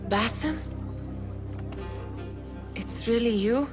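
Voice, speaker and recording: A woman's voice: fairly high pitch, extremely slow. An actress playing a wry, determined young woman in a video game drama, speaking formally and with self-assurance. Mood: earnest. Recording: dramatic music behind the voice.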